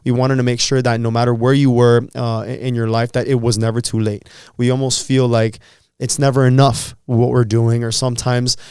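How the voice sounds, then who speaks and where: A man's voice: medium pitch, level tone, normal speed, a little mellow, clear, resonant tone, no room sound, clean studio sound. A man in his thirties co-hosting a podcast, talking earnestly and encouragingly in a casual motivational chat. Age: 30s. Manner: earnest, encouraging, repeats words when thinking. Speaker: podcast co-host.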